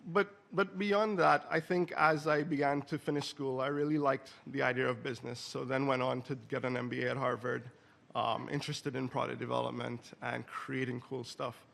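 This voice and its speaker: A man's voice: medium pitch, fairly even intonation, normal speed, vocal fry, a bit bright. A man from Trinidad and Tobago introducing himself as a panelist at a conference, with easy laughing asides. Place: conference hall.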